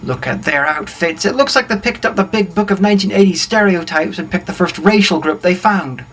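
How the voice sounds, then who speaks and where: A man's voice: high-pitched, fairly quick, a bit bright. A man reading a scripted comedic plot recap as co-narrator, in a dry, wry manner. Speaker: co-narrator of a comedy film review. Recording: faint background noise.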